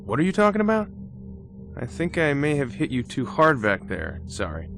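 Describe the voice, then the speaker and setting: A man playing an earnest, grateful teenage boy ally in an animated video game, hesitant but eager. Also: medium pitch, normal speed, music in the background. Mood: puzzled, eager.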